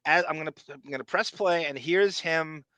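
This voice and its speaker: Bright chatty man podcast host. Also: on the high side, clear, resonant tone, no echo, low-quality recording. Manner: chatty, digressive, quick to laugh and swear. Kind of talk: casual banter.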